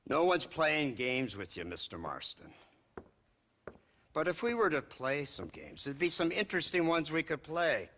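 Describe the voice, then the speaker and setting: An actor playing a smug, menacing government lawman in a game, with an American accent, a husky voice and condescending mock politeness. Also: a little high, sing-song, moderate pace, pushed and bright, a little hiss or hum. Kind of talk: video game cutscene acting.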